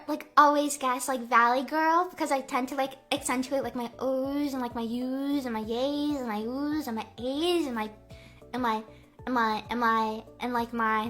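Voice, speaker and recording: Bright, bubbly young woman streamer. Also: high-pitched. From US Midwest. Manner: bubbly, playful, heavy "like" filler, drawn-out vowels. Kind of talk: casual live-stream chat reading comments.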